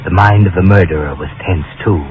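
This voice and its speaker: A man's voice: low voice, fairly quick, noisy background. A man narrating 1940s radio suspense in an ominous, dramatic manner. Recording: dull 1940s radio recording. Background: loud dramatic music.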